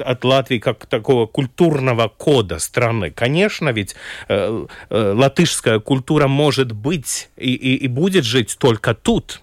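A man's voice: mid-range voice, no echo, studio quality. Man speaking Russian. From Latvia. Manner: halting, thoughtful, with chuckles and restarts. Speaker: orientalist guest. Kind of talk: radio talk show discussion.

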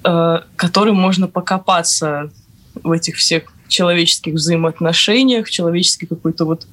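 A woman's voice: fairly low pitch, moderate pace, a bit bright, slight background noise. A young woman giving casual, reflective interview answers, hesitant and searching for the right words. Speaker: guest, young actor.

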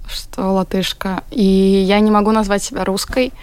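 A woman's voice: mid-range voice, little pitch movement, normal speed, darkish tone, smooth, no echo. Earnest teenage schoolgirl. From Latvia. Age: teens. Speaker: guest, schoolgirl. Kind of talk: interview answers.

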